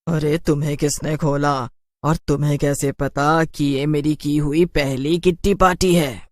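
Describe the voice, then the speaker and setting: An imperious cartoon witch, voiced by a woman, low in pitch and quick to scold. She orders everyone about with a short temper, in a dry, close recording. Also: fairly even intonation, no room sound. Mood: suspicious. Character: bossy, short-tempered female witch hostess.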